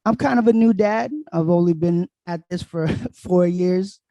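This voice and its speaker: A man's voice: high-pitched, creaky, mellow, no echo. A man from Queens, a guest DJ chatting casually and laughing easily on a podcast, talking into a handheld mic. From Queens, New York. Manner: laid-back, laughs often, drawn-out explanations. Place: small bright room at home. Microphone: handheld dynamic mic held close.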